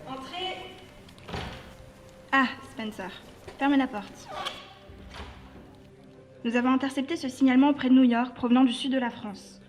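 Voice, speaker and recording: A woman's voice: high voice, measured pace, long pauses, faint music behind. A woman playing a stern, impatient intelligence chief in a French audio drama, brusque and authoritative. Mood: brisk, demanding. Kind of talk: French audio fiction dialogue.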